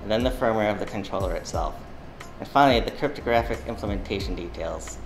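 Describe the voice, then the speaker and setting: Low-voiced confident man in a roomy lab. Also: fast talker, vocal fry, music in the background. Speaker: company spokesman. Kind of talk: scripted open letter reading. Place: indoor lab with computers.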